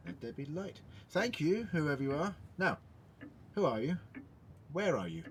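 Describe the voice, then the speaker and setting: Guy acting an eccentric ancient alien time traveller, super animated, mutters then declaims, faint rumbly noise behind. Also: a little slow. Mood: inquisitive, indignant. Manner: theatrical, self-questioning, swinging from muttering to declaiming. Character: eccentric thousand-year-old male alien time traveller, muttering, indignant. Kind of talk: audio drama acting.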